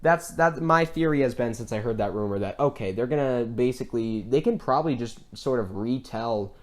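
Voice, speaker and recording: A man's voice: medium pitch, brisk. A man with a mid-range voice co-hosts a casual film talk. He is earnest and enthusiastic, and his delivery wanders in a loose, conversational way. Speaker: podcast co-host.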